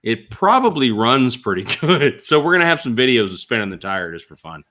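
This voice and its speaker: A man talks casually with a sharp, forceful edge to his voice. His pitch sits in the middle. He tells stories in an animated, informal way. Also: a little fast, a little hiss or hum. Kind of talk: casual storytelling chat.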